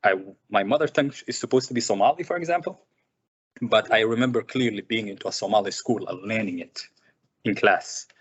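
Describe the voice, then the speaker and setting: A man from Sudan with a lowish voice talks about his work as a filmmaker at a seminar. He thinks aloud, filling pauses with "uh" and repeating words as he goes. The recording sounds muffled and lo-fi. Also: breathy edge. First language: Arabic. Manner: thoughtful, many fillers and repeated words. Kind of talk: reflective personal talk.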